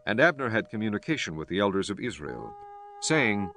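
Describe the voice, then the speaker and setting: Low-voiced man narrating scripture. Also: sing-song, brisk, sharp tone. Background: instrumental music.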